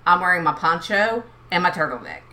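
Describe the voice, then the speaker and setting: A woman's voice: lowish voice, very animated, brisk, bright, forceful tone, slight background noise. A woman co-hosting a podcast, talking in a rambling, chatty way and laughing easily. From lived in New Orleans for twenty plus years. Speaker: podcast co-host. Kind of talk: casual chat.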